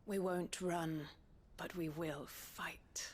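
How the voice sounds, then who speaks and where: Slow, low-voiced defiant merchant woman. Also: steady tone, slight background noise. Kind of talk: dramatic video game dialogue.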